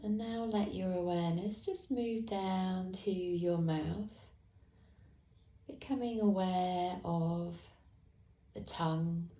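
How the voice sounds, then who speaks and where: A woman teacher leading a guided meditation, speaking softly and calmly with long pauses. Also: on the low side, roomy sound. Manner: slow, soft, calm guidance with long pauses.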